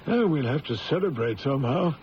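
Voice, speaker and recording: An actor playing a genial, inquisitive company boss in an old-time radio drama, chatty and genteel. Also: male voice, mid-range voice, lively intonation, brisk, natural voice. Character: genial, inquisitive gentleman diamond-firm boss in South Africa. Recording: dull lo-fi radio recording, faint hiss.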